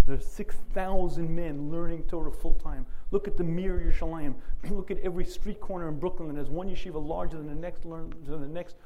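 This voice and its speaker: New rabbi clearing his throat often. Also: on the high side, dark tone, a little hiss or hum, poor audio. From United States. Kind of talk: Torah lecture.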